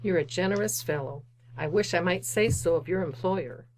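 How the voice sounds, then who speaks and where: A woman's voice: fairly low pitch, normal speed, a little mellow, a little hiss or hum, natural voice, low-quality recording. An actress playing a polite, earnest, genteel lady collecting for charity, formal and courteous, in old-time radio drama style. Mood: gently reproachful.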